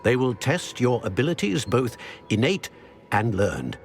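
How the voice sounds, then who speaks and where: An older actor playing a solemn ancient wizard in a video game, speaking in a formal, measured, grave manner. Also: male voice, medium pitch, very animated. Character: solemn ancient wizard, keeper of secret magical knowledge. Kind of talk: video game cutscene acting. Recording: music behind.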